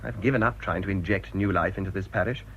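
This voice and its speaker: An actor playing a bitter English village priest in a radio drama, with a dry, grumbling way of talking. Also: male voice, deep voice, quick pace, fairly bright tone. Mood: discontented. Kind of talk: British radio drama acting. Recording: dull, noisy old radio drama recording.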